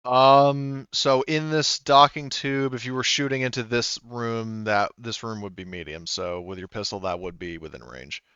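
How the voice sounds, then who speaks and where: A man's voice: mid-range voice, normal speed, few pauses, fairly bright tone, smooth, dry recording, highs cut off. A man running a tabletop game as game master, talking casually and explaining rules, with some swearing.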